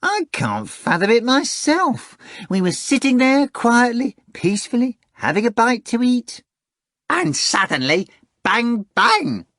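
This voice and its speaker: A man voicing a boastful, excitable male cat who talks in a shrill, theatrical rush. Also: unhurried, no echo, clean studio sound, full character voice. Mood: excited. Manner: shrill, breathless, pleading and theatrical.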